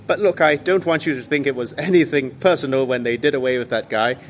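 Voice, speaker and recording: A man's voice: medium pitch, fast. A young man explaining history to camera outdoors, earnest and lecturing, stressing key words, filmed on a phone at arm's length. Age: young adult. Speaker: history vlogger. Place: outdoors in a town square by old buildings. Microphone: phone held at arm's length. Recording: faint street noise with passing vehicles.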